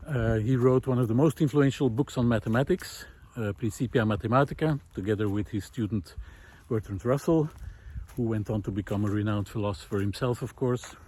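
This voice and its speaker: A man with a mid-pitched voice speaks over clear outdoor background noise, recorded while walking on a country road. He explains ideas reflectively and without a script. His delivery is halting and thoughtful, with frequent pauses as he forms each point. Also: a little mellow. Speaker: host of a walking talk series. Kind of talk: unscripted reflective philosophical talk while walking.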